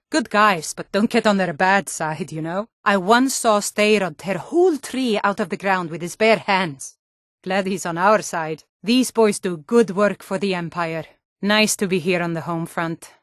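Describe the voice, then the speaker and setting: An actress playing a gruff, wary Nordic female guard and townswoman in a fantasy video game, speaking bluntly with a Nordic accent. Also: fairly low pitch, no echo, studio quality, close to an everyday voice. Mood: wry, weary.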